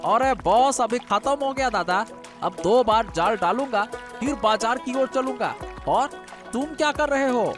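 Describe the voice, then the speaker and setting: A man's voice: extremely high pitch, measured pace, over music. A man playing a busy, good-natured village fisherman in a cartoon, chatty and reassuring. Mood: busy, easygoing. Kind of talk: Hindi cartoon dialogue.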